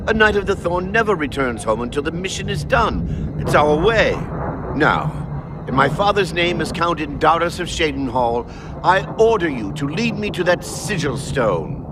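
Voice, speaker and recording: An actor playing a brash, vain young knight in a fantasy game, declaiming in a grandiose, theatrical manner. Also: male voice, a little high, heavy background noise. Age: young adult. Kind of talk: fantasy video game acting. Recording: music behind the voice.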